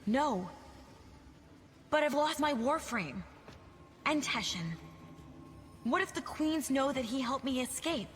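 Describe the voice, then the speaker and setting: A woman playing a stubborn, determined young pilot in a video game, earnest and halting. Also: sits high, lively intonation. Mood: stubborn resolve. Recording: long hall reverb, faint music.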